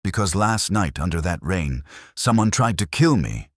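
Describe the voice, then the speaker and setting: A man's voice: low voice, very animated, moderate pace, dry recording, clean studio sound. A man voicing a determined woman in her forties, a grave and insistent client in an audio mystery. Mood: grave, urgent.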